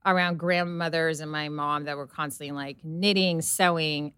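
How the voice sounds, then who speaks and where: Woman guest, middle-aged, low and smooth, loose filler-heavy storyteller, dry sound. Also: steady tone, moderate pace, a bit bright, no room sound. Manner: rambling, filler-heavy storytelling with quoted inner thoughts. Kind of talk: casual chat.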